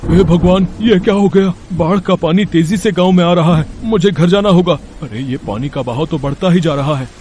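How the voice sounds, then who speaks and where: A man's voice: high-pitched, moderate pace, few pauses, background noise, natural voice. A man voicing a poor village labourer, a caring husband and father, earnest and fretful, in a moral-story cartoon. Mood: distressed.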